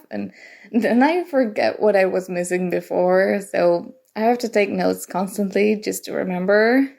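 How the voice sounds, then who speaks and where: Woman acting an awkward, creaky-voiced nerdy college girl. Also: mid-range voice, a little mellow. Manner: flustered, halting, self-deprecating, rambling. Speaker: ASMR roleplay performer. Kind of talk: ASMR roleplay, acted casual conversation.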